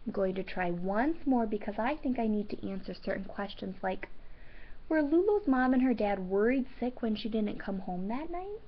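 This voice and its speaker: A woman teacher reading a children's book aloud and commenting on it in an expressive, instructive way. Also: medium pitch, normal speed. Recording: dull, lo-fi sound.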